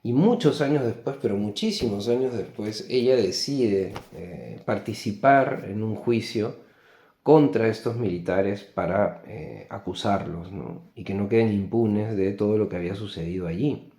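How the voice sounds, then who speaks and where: A man with a Peruvian Spanish accent giving an unscripted book review in a reflective, halting way, often checking in with tag questions. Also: on the low side, warm tone. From Peru. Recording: echoey, roomy sound.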